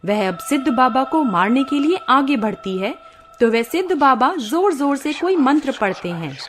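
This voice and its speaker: A woman narrating a scripted bedtime moral story in an expressive, dramatic storytelling style. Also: sits high, moderate pace, a little hiss or hum. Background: faint background music.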